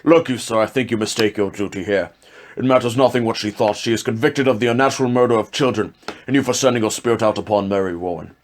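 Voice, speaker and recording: A man's voice: lowish voice, fast talker, a little hiss or hum, natural voice. A man acting a stern, commanding magistrate in a period drama. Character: stern, authoritative colonial magistrate.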